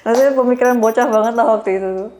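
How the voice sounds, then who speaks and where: A woman's voice: a little high, steady tone, rapid-fire, dark tone, soft background music. A young woman, chatting candidly and self-deprecatingly as she tells her own story as a podcast guest. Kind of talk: casual personal storytelling.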